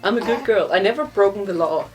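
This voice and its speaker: A woman's voice: mid-range voice, fast, darkish tone, rough recording. Young woman, Danish accent, casual rambling vlog chat, faint background music. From Denmark. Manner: chatty, rambling, many fillers and laughs. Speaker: vlogger.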